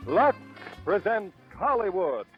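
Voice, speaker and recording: A man's voice: sits high, big pitch swings, takes it slowly. A man announcing a program in formal, proclaiming 1940s radio style. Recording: narrow-band old radio recording, loud music.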